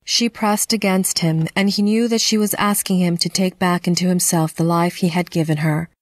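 A woman reading scripted narration for a dark fantasy audio drama. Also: lowish voice, steady tone, moderate pace, no room sound.

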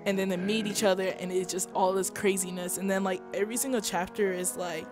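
A woman's voice: mid-range voice, fairly even intonation, fast talker, music in the background. A woman from Kentucky hosting a podcast, chatty and bubbly, often tripping over her words. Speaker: podcast host. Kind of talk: casual podcast chat.

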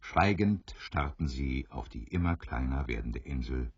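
Male narrator for an audio drama, very low and husky, close dry sound, slightly dull. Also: animated, measured pace, dry recording. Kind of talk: scripted storybook narration for a radio play.

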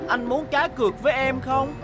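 A woman's voice: high-pitched, steady tone, moderate pace, close to an everyday voice. A woman voicing a timid but boastful little boy bus in a dubbed children's cartoon. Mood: defiant. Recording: music behind the voice.

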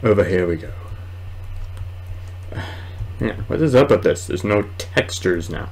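Low-voiced young man, slow gaming chatter. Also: animated. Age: 20s. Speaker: gamer, video host. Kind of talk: casual let's play commentary. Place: small room at home, indoors. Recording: clearly noisy home recording.

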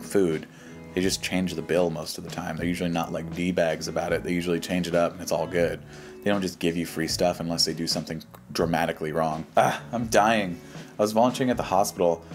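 Male YouTube host, American, low-pitched, casual and dismissive with slang, over background music. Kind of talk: casual reaction commentary, reading posts aloud.

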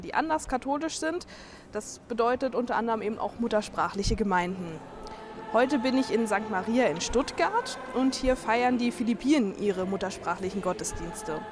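A woman hosting a podcast, calmly asking clear interview questions. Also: on the high side, moderate pace, a little hiss or hum. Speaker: podcast host.

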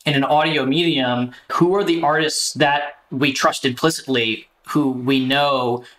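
A man's voice: mid-range voice, fairly even intonation, measured pace, a bit bright, low-quality recording. A man speaking casually as an interview guest about his work. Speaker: theater director of new artists, guest.

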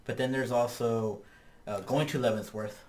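A man's voice: mid-range voice, steady tone, moderate pace, slight background noise. A man co-hosting a podcast in a small studio, chatting in an easygoing way. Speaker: podcast co-host. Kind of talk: casual chat. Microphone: studio mic on boom arm.

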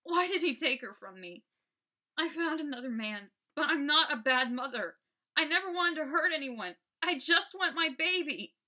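A woman voicing a grieving female ghost with a low, raspy whisper that turns to desperate wailing. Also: takes it slowly, few pauses, bright, forceful tone, exaggerated voice. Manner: wailing, sobbing pleas.

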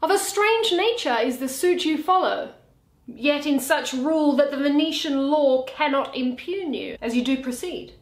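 Casting: earnest young advocate, played by a woman, high voice, persuasive classical verse, recorded in an echoey room. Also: expressive. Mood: measured, earnest. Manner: deliberate, persuasive. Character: earnest, measured young male lawyer pleading for mercy. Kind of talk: dramatic Shakespeare reading.

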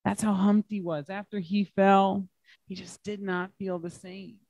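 A man reads a children's story aloud at an unhurried pace. His phrasing is simple and emphatic, made for young listeners, and the sound is dry with little room echo. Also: sits high, little pitch movement, vocal fry, a little mellow. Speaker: guest reader at children's story time. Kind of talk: expressive picture-book read-aloud to children. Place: large glass-walled library room.

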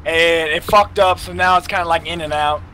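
A man in casual voice chat during an online game, loud, brash and swearing a lot. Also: on the high side, steady tone, brisk, a little hiss or hum. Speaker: gamer on voice chat.

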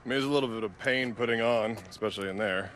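A man acts out an uptight American policeman, the exasperated older brother in a video game. His voice is low, his manner wry and earnest, over background noise. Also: rapid-fire, a bit bright, close to an everyday voice. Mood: nervous, eager.

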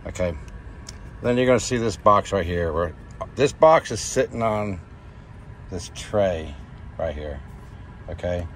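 A man's voice: low-pitched, animated, measured pace, pauses often. A middle-aged American man giving a casual, plain-spoken how-to vlog from inside a truck cab. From United States. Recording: noisy truck cab, loud vehicle ambience.

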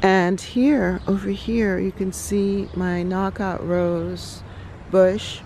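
A woman hosting a relaxed garden tour outdoors, talking reflectively with many pauses and ums. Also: mid-range voice, a little hiss or hum. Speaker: garden channel host. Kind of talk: casual garden tour vlog.

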